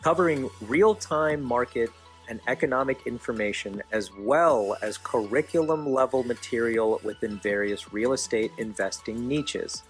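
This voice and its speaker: An American man delivers a scripted show introduction as the announcer. His voice sits at a middle pitch, with music faintly behind it. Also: normal speed. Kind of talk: scripted promotional intro narration.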